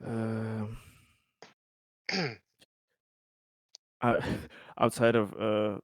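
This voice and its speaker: Halting low-voiced man in a dry room. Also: level tone, no echo. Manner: hesitant, halting, repeats words. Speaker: tabletop roleplaying game player. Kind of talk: casual in-character and out-of-character game talk.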